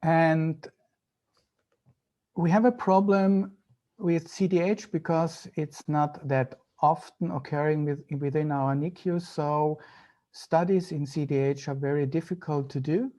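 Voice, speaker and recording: A man with an Austrian accent, a native German speaker, giving an online medical lecture in halting, deliberate phrases. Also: fairly high pitch, fairly even intonation, warm tone. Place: video call.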